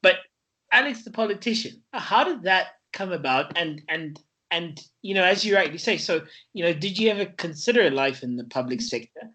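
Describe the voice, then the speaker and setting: A man's voice: high-pitched, lively intonation, moderate pace, sharp tone. A man hosting a podcast, asking long, meandering questions with many fillers. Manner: rambling, hesitant questions. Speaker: podcast host. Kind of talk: podcast interview.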